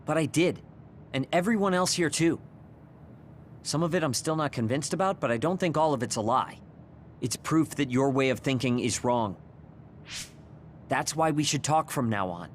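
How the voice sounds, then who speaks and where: A man's voice: a little high, animated, normal speed, long pauses, a little hiss or hum, natural voice. An actor playing a blunt, prickly young man in an anime video game dub. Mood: earnest, firm.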